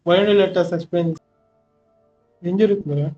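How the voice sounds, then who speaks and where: A male game streamer with a Telugu accent chats casually as he plays. His voice is high and extremely quick, heard through a dull microphone with faint game music. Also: warm tone. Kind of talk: casual live gameplay commentary.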